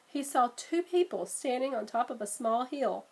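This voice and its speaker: A woman reading a children's storybook aloud in an expressive, measured way. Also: a little high. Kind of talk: storybook read-aloud narration.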